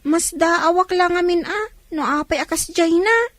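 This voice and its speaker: A woman's voice: extremely high pitch, a little slow, fairly bright tone, dry recording. A woman playing an earnest, plaintive young daughter in a radio drama. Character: defensive, dutiful young girl talking to mother.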